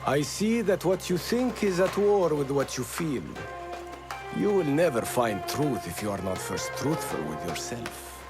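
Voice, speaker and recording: A man's voice: a little high, sing-song, a little slow, music in the background. A man acting as a proud, defiant rebel leader in a video game, with a challenging way of speaking. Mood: probing.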